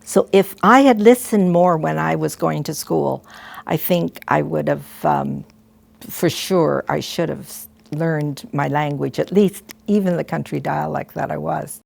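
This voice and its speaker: An older woman, a retired school principal, telling gentle, halting stories and advice to students. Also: low voice, vocal fry. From Canada. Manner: gentle, halting, with frequent restarts and soft asides. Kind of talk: reflective storytelling to students.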